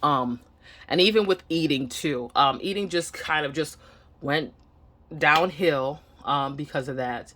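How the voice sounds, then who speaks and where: A woman's voice: sits low, measured pace, a bit bright, slight background noise. Candid woman with a Nigerian accent. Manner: earnest, candid, hesitant. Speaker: vlogger. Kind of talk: casual personal update to camera. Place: home room.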